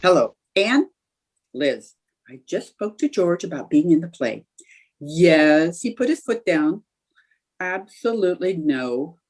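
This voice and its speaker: A stubborn, stagestruck wife from an old-time radio comedy , acted by a woman. Her voice is breathy-rough and mellow, with very animated intonation. She is coaxing, indignant and theatrical, always scheming. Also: fairly low pitch, slow pace, heavily acted. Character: Liz, scheming, stubborn, stagestruck 1940s housewife. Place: remote video-call production.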